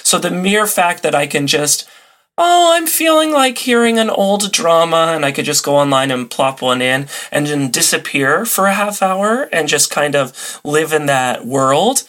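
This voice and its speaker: A man's voice: on the high side, animated, a little slow, fairly bright tone. A man chatting casually and enthusiastically as a podcast guest in an interview.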